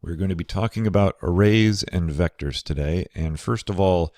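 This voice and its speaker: A man teaching a programming course over slides, sounding nasal and a bit crackly from a cold, talking in a relaxed way with many fillers. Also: low-pitched, normal speed, no echo, studio quality. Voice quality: slightly crackly. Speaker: programming instructor. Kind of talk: recorded lecture over slides.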